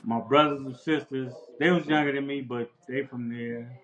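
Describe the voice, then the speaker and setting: A man from Los Angeles telling his life story in a relaxed, casual way, full of street slang and repeated "bro". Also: medium pitch, moderate pace, roomy sound, muffled recording, background noise. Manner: laid-back, repetitive street slang with frequent "bro". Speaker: interview guest. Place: outdoors near a house.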